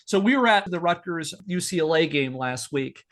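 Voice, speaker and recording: A man's voice: a little high, animated, normal speed, fairly bright tone. A man hosting a podcast, explaining a story in a conversational but partly scripted way, with asides. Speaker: podcast host.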